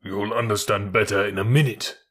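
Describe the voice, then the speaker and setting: A man's voice: on the low side, steady tone, a little fast, a bit bright. A man voicing a nervous, easily offended male elephant in a storybook, fussy and self-pitying in manner. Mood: angry.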